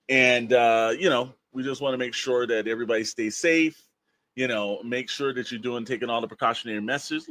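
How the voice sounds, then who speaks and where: A man's voice: on the low side, fairly bright tone, dry recording. An American man co-hosting a podcast in casual, rambling talk peppered with verbal tags like "you know". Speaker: podcast co-host.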